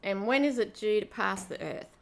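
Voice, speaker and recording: A woman playing a brash, excitable spaceship adventurer in an amateur radio play. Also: mid-range voice, moderate pace, gravelly, lightly acted. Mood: eager.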